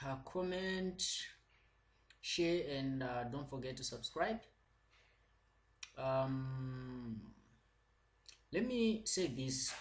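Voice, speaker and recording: Male lecturer, mid pitch, slow and patient, repetitive with fillers.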